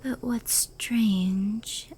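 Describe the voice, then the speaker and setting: A woman voicing a proud, powerful fox yokai in a hushed, intimate ASMR roleplay, soft and breathy. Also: fairly high pitch, fairly even intonation, very slow, slight background noise. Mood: tender, reflective. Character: proud, powerful female fox yokai, fond confidante of a human.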